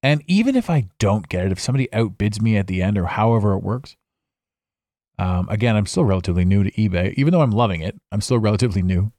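A man's voice: on the low side, expressive, quick pace, darkish tone, no echo. A man hosting a solo podcast from his home studio, rambling and reflective, with frequent restarts. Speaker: podcast host. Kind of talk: impromptu solo podcast monologue. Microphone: studio microphone.

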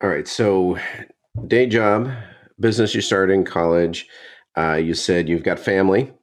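A man's voice: sits low, fairly even intonation, measured pace. A man hosting a podcast, asking friendly, rambling questions in casual talk. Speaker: podcast host.

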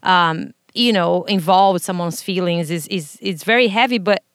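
A woman hosting a casual interview, reacting eagerly and slowing down when things turn serious. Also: fairly low pitch, no echo, clean studio sound. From Brazil. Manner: enthusiastic, warm reactions, slows when serious. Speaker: podcast host.

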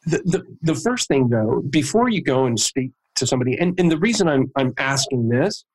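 Guy hosting a podcast, medium pitch voice, casual, kinda feels his way into each question. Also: big pitch swings, brisk, low-quality recording. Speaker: podcast host, interviewer. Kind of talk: casual, conversational interviewing.

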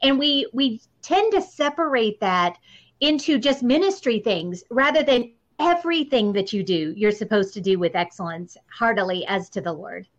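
A woman's voice: fairly high pitch, lively intonation, moderate pace. A woman hosting a casual interview in an earnest, appreciative way, repeating words for emphasis. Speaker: interviewer, podcast host. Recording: slightly dull, lo-fi audio.

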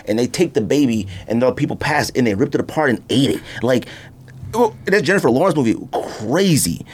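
An American man hosting a podcast, chatting casually through a countdown in an animated, exclamatory, slangy way. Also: medium pitch. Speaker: podcast host.

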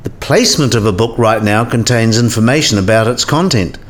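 A man acting in a scripted radio play as a learned professor, precise and didactic, with a dry, gently sceptical manner. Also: medium pitch, fairly quick, lightly acted. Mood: didactic, measured. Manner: precise, didactic, dryly teasing.

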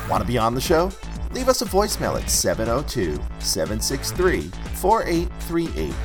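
A man reading a scripted promotional outro as a podcast announcer. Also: fairly low pitch. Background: music bed.

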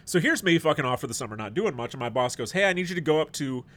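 A man's voice: on the high side, animated, very fast, fairly bright tone. A middle-aged man hosting a podcast in casual chat, a bit stuffed up and sniffly, rambling and joking. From United States. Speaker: podcast host.

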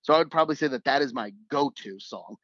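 A man with a slightly raspy voice, a radio producer chatting casually as a guest, relaxed and joking with fillers and restarts. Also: medium pitch, fast, no echo, dull audio. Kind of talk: casual interview chat.

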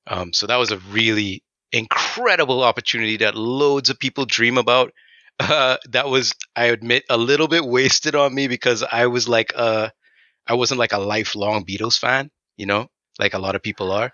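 A man's voice: mid-range voice, moderate pace, bright, forceful tone, no echo, highs cut off. A man from Trinidad, a game audio designer, talking casually and animatedly with many fillers in an interview.

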